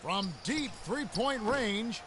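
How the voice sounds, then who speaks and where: A brisk high-voiced play-by-play announcer. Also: male voice, lively intonation, background noise. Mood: calling action. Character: male play-by-play sportscaster, brisk. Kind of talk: video game basketball commentary. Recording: game audio with loud music behind.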